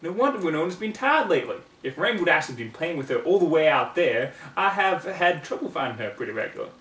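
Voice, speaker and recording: A man's voice: fairly high pitch, very animated, quick pace, fairly bright tone, pitched above the performer's own voice. A man voicing a blunt, folksy farm mare, a protective big sister, in a storybook-style reading. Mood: annoyed. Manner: folksy, blunt, drawling rural wording. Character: plainspoken, no-nonsense country mare, a protective older sister. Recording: roomy, distant mic, faint noise.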